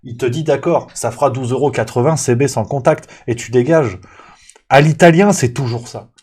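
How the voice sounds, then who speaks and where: A man hosting a show, delivering a comic rant in an animated, exasperated tone, swinging between loud outbursts and muttered asides. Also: mid-range voice, moderate pace, breathy edge. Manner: animated, exasperated, mock-angry ranting; parodies waiters with exaggerated Italian accent.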